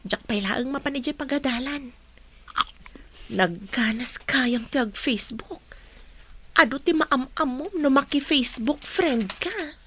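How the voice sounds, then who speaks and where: A woman's voice: high-pitched, unhurried, a bit bright, slight background noise. An actress with a Filipino accent playing a carefree, chatty young female student in a radio drama.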